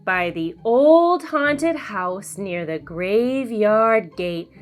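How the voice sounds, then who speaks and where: Female children's storyteller. Lively, swooping pitch, deliberate sing-song read, background music. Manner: animated, slow and deliberate sing-song delivery. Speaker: children's read-aloud host.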